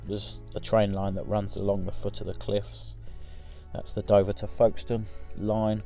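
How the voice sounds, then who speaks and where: A man's voice: fairly low pitch, level tone, moderate pace, dark tone, soft background music. A man in his forties giving a casual, rambling voice-over for his driving footage, with many ums and restarts. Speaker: vlogger.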